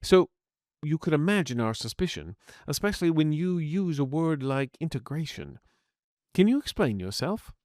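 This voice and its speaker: A man voicing a civil, apologetic peacemaker, an inner personality in an audio story, speaking in a measured, genteel way. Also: fairly high pitch, big pitch swings, a little mellow, no room sound, clean studio sound. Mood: calm, wary. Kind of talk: scripted audiobook character acting.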